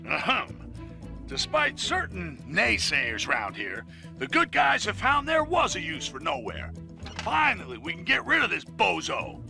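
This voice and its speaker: An actor playing an excitable, cranky comic announcer in a video game, blustery and grudging. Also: male voice, high voice, vocal fry, full character voice. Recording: music behind the voice.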